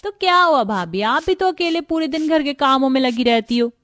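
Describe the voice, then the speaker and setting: A woman's voice: high voice, expressive, a little fast, a bit bright, dry recording, studio quality, pitched above the performer's own voice. A woman voicing a doting, eager young sister-in-law in a cartoon, caring and insistent. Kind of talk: Hindi cartoon story dialogue.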